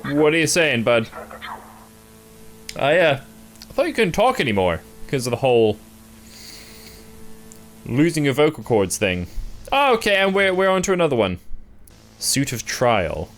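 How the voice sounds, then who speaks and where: A man's voice: fairly high pitch, big pitch swings, measured pace, pauses often. A man doing casual reaction commentary, reading text aloud and adding wry, jokey understatement, with noise behind him. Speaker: reaction video host.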